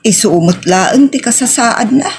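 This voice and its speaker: A woman's voice: on the high side, lively intonation, measured pace, darkish tone, slight background noise. A woman with a Filipino accent playing a weary, sorrowful older mother in a family audio drama, speaking plaintively. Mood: weary, grave. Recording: music under the voice.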